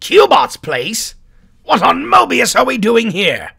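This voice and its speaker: A man playing a surly, blustering mad doctor in an audio drama, with a raspy, gravelly voice. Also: extremely high pitch, wildly swooping pitch, slow, sharp tone, exaggerated voice. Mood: grumpy, puzzled. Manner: blustering, theatrical. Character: surly, demanding male mad doctor, easily alarmed. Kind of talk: amateur audio drama acting.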